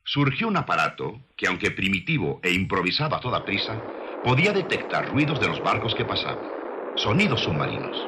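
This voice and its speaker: A man reading scripted religious documentary narration in a solemn, measured, declamatory manner. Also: fairly low pitch, big pitch swings, pushed and bright, breathy edge. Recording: music behind the voice. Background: music.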